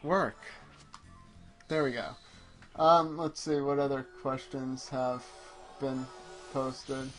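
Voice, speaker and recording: A man's voice: medium pitch, flat delivery, takes it slowly, long pauses, faint music behind. A man hosting a gaming show, chatting casually and muttering distracted asides as he plays. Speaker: gaming show host playing the game. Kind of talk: casual chat while playing.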